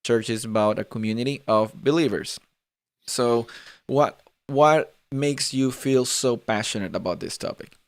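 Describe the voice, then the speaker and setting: A Spanish-speaking man in his twenties hosts a relaxed live chat, his Latin American accent a blend of many places. His voice is smooth and resonant, recorded close and dry. He talks in a friendly, rambling way, repeating words and leaning on "like.". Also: medium pitch, a little slow, dry recording. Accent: mixed Latin American Spanish. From Peru. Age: 20s. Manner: chatty, repeats words, fills with "like". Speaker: host. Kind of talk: live conversational interview.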